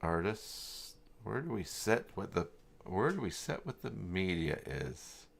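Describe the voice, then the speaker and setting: Male live-stream tutorial host: unhurried, creaky low register, casual and tentative, talks himself through the screen. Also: sing-song, a little hiss or hum. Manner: hesitant, muttering to himself while searching. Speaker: live stream host demonstrating website software. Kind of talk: casual screen-share tutorial, thinking aloud.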